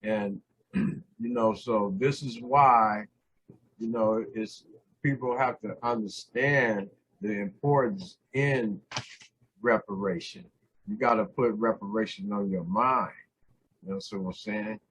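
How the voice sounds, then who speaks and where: An older man hosting a talk show, hoarse, clearing his throat often and saying "you know what I'm saying" a lot. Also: on the low side, takes it slowly, a bit bright, poor audio. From California. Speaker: host. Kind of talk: casual talk show monologue.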